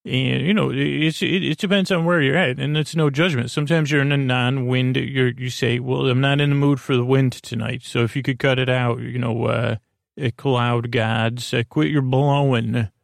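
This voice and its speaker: A man hosting a sleep podcast in a creaky voice, lulling and soothing, meandering off into tangents. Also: medium pitch, steady tone, normal speed, dry recording, studio quality. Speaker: podcast host. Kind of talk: rambling bedtime sleep-podcast monologue. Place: small closet.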